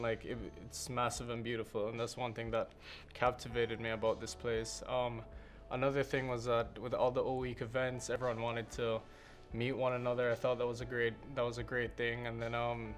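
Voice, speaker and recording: A male student chatting casually at a roundtable, relaxed and full of "like". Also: medium pitch. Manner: relaxed, lots of "like".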